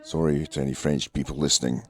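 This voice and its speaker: A man delivering a scripted satirical film review in a dry, deadpan way. He has a cold, so his voice sounds nasal and throaty. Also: rumbling low, quick pace, soft background music.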